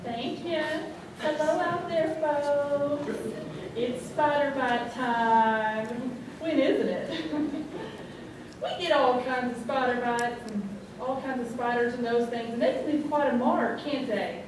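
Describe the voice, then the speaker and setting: A woman's voice: high voice, takes it slowly. A woman playing a folksy, cheerfully brutal small-town home remedy lady in a comic radio monologue, chatty and instructive. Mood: cheerfully matter-of-fact. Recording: distant, very echoey, noisy stage recording.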